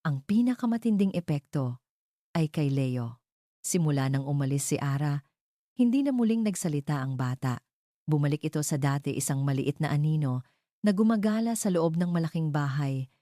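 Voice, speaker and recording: A woman with a Filipino accent narrating a scripted love story in a solemn, dramatic way with deliberate pauses. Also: low voice, expressive, dark tone, no room sound, clean studio sound.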